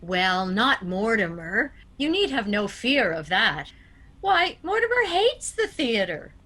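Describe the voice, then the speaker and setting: A sweet old spinster aunt, played by a woman in a radio play. She is genteel and doting, with a bright, high voice that swoops in fluttery, fussy swings. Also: big pitch swings, slow pace, heavily acted. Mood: doting, chatty.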